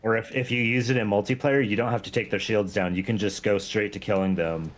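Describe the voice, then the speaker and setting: A man's voice: fairly low pitch, fairly even intonation, a little fast. A man chatting casually as he plays a game, explaining things in a self-deprecating way. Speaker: game mod creator, guest. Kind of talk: casual chat while playing a game. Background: background music.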